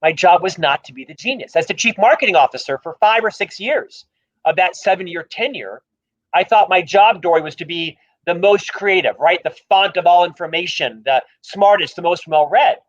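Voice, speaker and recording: A man's voice: sits high, normal speed, highs cut off. A man with a stutter, speaking emphatically and with humor as a guest in a livestream conversation about leadership. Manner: emphatic, self-deprecating, openly stutters on some words. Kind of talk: livestream interview talk.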